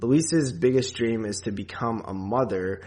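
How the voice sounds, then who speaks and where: A man co-hosting a podcast, talking casually about films in a rambling, halting way. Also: mid-range voice, a little mellow. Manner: rambling, halting, filler-heavy. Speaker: podcast co-host. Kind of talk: casual movie discussion.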